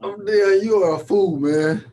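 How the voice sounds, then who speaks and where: A man's voice: sits high, unhurried, warm tone. A man hosting a podcast in casual chat, very informal and slangy, swearing freely. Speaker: podcast host.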